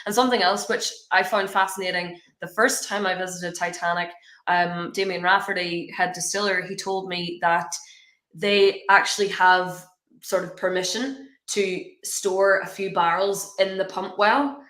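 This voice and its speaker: A woman co-hosting a whiskey show, telling stories in a lively way. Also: fairly low pitch, unhurried, reverberant. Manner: lively storyteller, laughs often. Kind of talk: casual chat.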